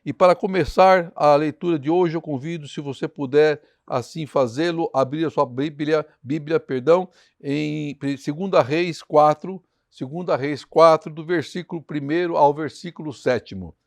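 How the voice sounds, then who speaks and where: A man with a Brazilian Portuguese accent leads a devotional Bible study. His voice sits fairly high and is a little hoarse. The sound is dry and close, with very little room in it. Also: moderate pace, hardly any pauses, no room sound. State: slightly hoarse. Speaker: preacher leading a Bible study. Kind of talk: devotional Bible teaching with scripture reading.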